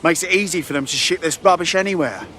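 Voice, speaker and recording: An actor playing a streetwise London resistance recruit with a British accent and colloquial, slangy speech in a video game. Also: male voice, a little high, fast, pushed and bright, a little hiss or hum. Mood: bitter, wary. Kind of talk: video game cutscene acting.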